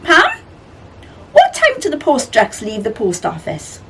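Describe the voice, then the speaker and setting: A woman voicing a bossy, brisk female animal character in a children's storybook, speaking emphatically. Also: a little high, wildly swooping pitch, very noisy, pitched above the performer's own voice, exaggerated voice.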